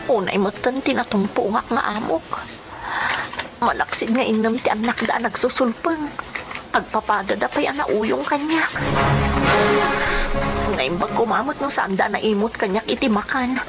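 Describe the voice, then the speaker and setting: A woman's voice: fairly high pitch, a little slow, pauses often. A woman playing a poor, long-suffering wife and domestic helper in an emotional radio drama, speaking plaintively with an Ilocano accent. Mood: weary complaint. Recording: music playing behind the voice.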